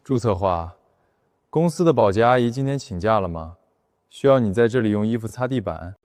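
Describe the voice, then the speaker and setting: An actor playing a cool, domineering young company boss with a deadpan, sarcastic and controlled manner in an animated drama. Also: male voice, fairly low pitch, brisk, close to an everyday voice. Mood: dry sarcasm. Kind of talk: animated short drama dialogue.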